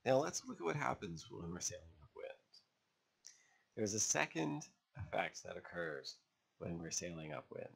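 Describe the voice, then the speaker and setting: A man narrating an instructional lesson as an instructor, explaining step by step in a measured way with pauses. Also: low-pitched, very animated, gravelly, slightly rough. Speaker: sailing instructor. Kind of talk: instructional narration over drawn diagrams.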